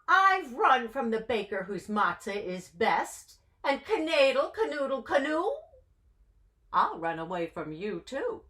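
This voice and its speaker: A woman's voice: a little high, big pitch swings, measured pace, pushed and bright, exaggerated voice. A woman voicing a cheeky, boastful little runaway matzah man from a storybook, chanting in taunting singsong rhyme.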